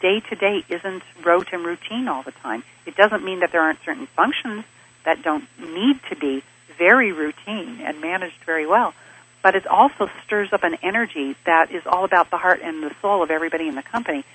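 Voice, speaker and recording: A woman with a bright, slightly husky voice speaks in a measured, explanatory manner as an interview guest. She grew up in Switzerland and lays out her ideas in steady lists of points. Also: lowish voice, rough recording. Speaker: interview guest, business advisor. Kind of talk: thoughtful conversational interview answers.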